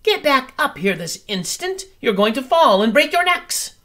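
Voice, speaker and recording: A storybook reader voicing a strict, bossy mother bird who scolds in a shrill, raised voice. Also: big pitch swings, moderate pace, a bit bright. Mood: scolding.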